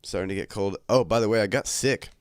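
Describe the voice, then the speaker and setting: A young man talks to his livestream chat in a laid-back, joking way, crude and unhurried. His voice sits a little low and comes through smooth and clear, slightly congested from a cold. The sound is dry and close. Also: no room sound. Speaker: livestream host. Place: his bedroom at home.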